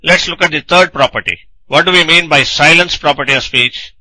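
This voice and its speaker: A man's voice: on the high side, a little fast, pushed and bright, dry recording, poor audio. A man narrating a scripted technical lecture in a didactic manner, posing questions and then answering them.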